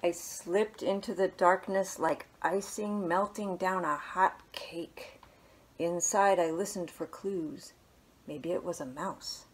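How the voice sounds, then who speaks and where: A woman in her thirties, a children's librarian, reading a picture book aloud at home in a small living room, gently and with soft hushed asides. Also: lowish voice, steady tone, slow pace, husky.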